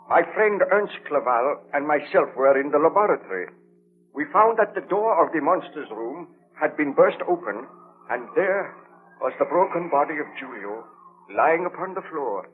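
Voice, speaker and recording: A muffled old radio recording carries an actor as a haunted, impassioned scientist baron. His delivery is theatrical and formal, swinging widely in pitch in the 1930s radio drama manner. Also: expressive, moderate pace, a little hiss or hum, natural voice. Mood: grave, recollecting.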